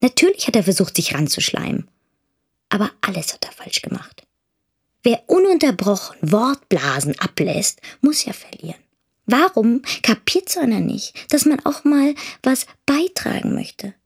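A woman's voice: fairly high pitch, big pitch swings, moderate pace, breathy edge, heavily acted. A woman playing a headstrong, mocking teenage daughter in an audio drama. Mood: disdainful, musing. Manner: mocking, dismissive. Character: disdainful, headstrong teenage daughter just out of school. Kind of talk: dramatic monologue in a German audio play.

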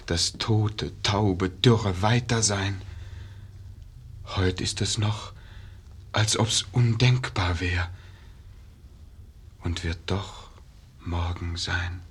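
An actor playing a grave, sorrowful young man in a 1950s radio verse drama, speaking with measured, melancholy weight. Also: deep voice, breathy edge, heavily acted. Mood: bleak, resigned.

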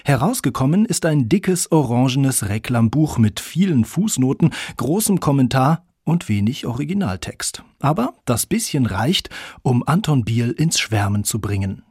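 A male reporter narrates a scripted documentary. His voice sits at middle pitch with a slight huskiness, and he delivers each phrase articulately in a close, dry studio sound. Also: big pitch swings, normal speed, a little mellow, slightly rough, no echo.

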